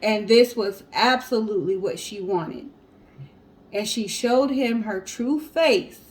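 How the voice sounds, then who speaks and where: A woman co-hosting a show, telling stories and chatting casually to the audience in an animated way. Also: medium pitch, slow pace. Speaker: co-host of a storytelling guessing show. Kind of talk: casual storytelling and chat to viewers.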